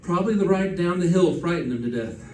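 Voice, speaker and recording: A pompous, tipsy old-time radio sidekick with a rather high voice. He declaims in a flowery, arch manner. It is recorded from some distance in an echoey room. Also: lively intonation, fast talker, darkish tone, slight background noise. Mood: dry, affected. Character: pompous, tipsy, theatrical male photographer sidekick. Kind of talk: old-time radio comedy-mystery acting.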